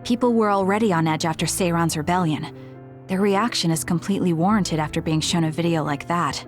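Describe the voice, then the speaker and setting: A woman's voice: lowish voice, a little fast, soft background music, natural voice. An actress playing a serious, composed young female fighter in an anime game dub.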